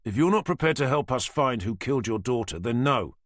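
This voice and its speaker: A man's voice: medium pitch, animated, brisk, a bit bright, no room sound, studio quality, pitched above the performer's own voice. A man doing a curt, stonewalling police officer.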